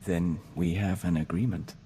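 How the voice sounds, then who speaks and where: A man voicing a gentle, devoted diplomat of an insect hive mind, formal and measured, in a video game. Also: low-pitched, fairly even intonation, natural voice. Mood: tender, earnest. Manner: formal, measured, speaks of himself as we. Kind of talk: scripted video game dialogue acting. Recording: long reverb, noisy game ambience.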